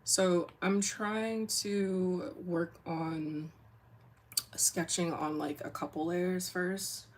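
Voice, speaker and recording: A young woman with a deep voice, sniffling, chatting casually on a drawing livestream and rambling with lots of fillers. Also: fairly even intonation, extremely slow, slight background noise. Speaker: comic artist streamer.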